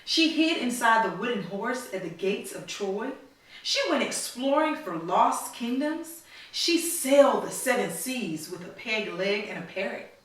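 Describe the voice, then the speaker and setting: A woman reading a storybook aloud to children in a teacherly way, pausing to prompt them with questions. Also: a little high, animated, fairly bright tone. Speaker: mother reading a storybook to her daughters. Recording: very echoey room, slightly distant mic.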